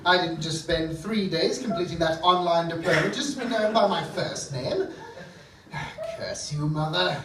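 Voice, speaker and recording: A man's voice: a little high, normal speed, a bit bright, natural voice. A man playing a fussy, petty evil doctor villain in a stage comedy, with hesitant theatrical delivery. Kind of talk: live stage comedy acting. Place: theatre stage, live performance. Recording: echoey, distant, noisy theatre recording.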